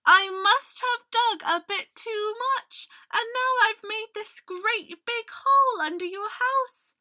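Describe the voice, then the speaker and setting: A woman's voice: very high-pitched, takes it slowly, pushed and bright, voice pushed up, heavily acted. A woman voicing a little, clumsy, apologetic mole in a storybook, with a sheepish manner.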